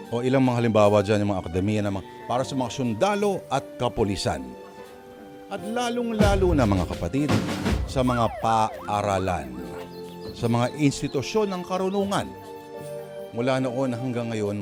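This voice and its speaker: A man hosting and narrating a radio drama in a theatrical, emphatic scripted style, pausing between phrases. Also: mid-range voice. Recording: music playing behind the voice.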